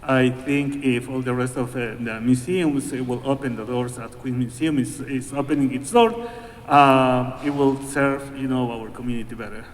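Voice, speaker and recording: A man interpreting live from Spanish at a panel, halting and full of fillers, correcting himself as he goes. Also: medium pitch, steady tone. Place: museum event hall. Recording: echoey hall with faint noise.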